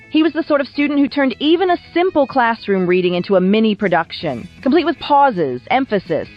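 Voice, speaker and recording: A woman narrates a scripted documentary in a fairly high voice with lively, swooping intonation. She tells it like a wry storyteller. The audio is a little dull and band-limited. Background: background music.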